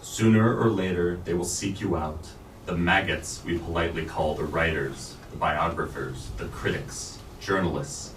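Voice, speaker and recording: A man with a very low voice reads literary prose aloud at a staged reading. His phrasing is deliberate and emphatic. The room echoes around him, the mic sits at some distance and there is faint noise. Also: steady tone, fairly bright tone. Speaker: reader at a staged book reading. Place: bookstore event space.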